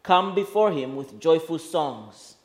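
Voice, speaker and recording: A man from Malawi, a guest pastor, leading a church service in an earnest, halting way with frequent fillers. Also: a little high, lively intonation, husky.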